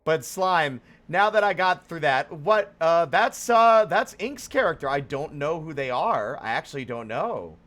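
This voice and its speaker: A man with a slightly high voice hosts a casual livestream. His pitch swings widely as he rambles playfully, sliding from theatrical exclamations into offhand chat with his viewers. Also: big pitch swings, measured pace, few pauses, a bit bright. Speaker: live streamer, host.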